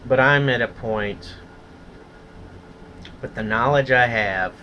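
A man's voice: medium pitch, takes it slowly, bright, forceful tone, dull audio, a little hiss or hum. A man delivering a blunt, profane, contemptuous opinion rant as a solo vlogger.

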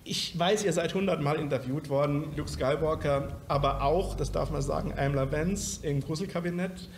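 A man's voice: fairly high pitch, normal speed. A man conducting a casual interview, enthusiastic and full of compliments. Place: indoor hallway at a convention. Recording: echoey room, faint background noise.

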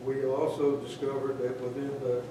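A man presents his request in a halting way, pausing often and correcting himself. His voice carries from far off in a large, echoey chamber, with room noise plain behind him. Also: medium pitch, completely flat delivery. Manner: halting, many fillers and self-corrections. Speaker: applicant. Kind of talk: public comment at county meeting. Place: large county commission chamber. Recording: echoey chamber, distant mic, clear ambience.

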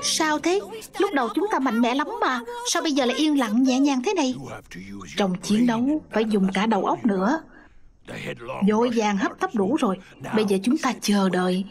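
A woman dubbing every character in a cartoon herself, reading the lines in quick, lively turns. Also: a little high. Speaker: dubbing narrator voicing all cartoon characters. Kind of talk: single-voice cartoon dub. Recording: dub over loud music.

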